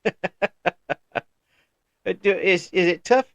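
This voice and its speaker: A middle-aged man hosting an interview in a casual, chatty way, often restarting sentences and answering with quick yeses. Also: high-pitched, vocal fry, no echo. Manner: chatty, frequent restarts and affirming "yeah"s. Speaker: interview host.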